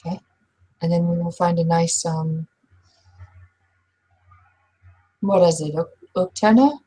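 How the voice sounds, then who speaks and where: A woman roleplays a caring female friend in a tabletop game. Her voice is dark, relaxed and breathy. She gives gentle, protective instructions in an earnest, slightly hesitant way. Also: on the low side, normal speed. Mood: concerned.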